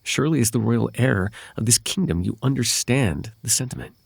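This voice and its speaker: A man playing a possessive, lovelorn fae royal heir in an intimate ASMR roleplay, with halting, tender delivery and formal, old-fashioned wording. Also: medium pitch, animated, vocal fry, breathy edge, no echo. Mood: wistful, devoted.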